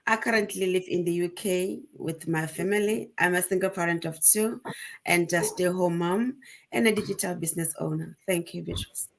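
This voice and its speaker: A woman's voice: fairly low pitch, moderate pace, gravelly, slight background noise. Earnest woman guest on a video call. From Botswana. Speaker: panel guest. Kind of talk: casual chat on a live video call.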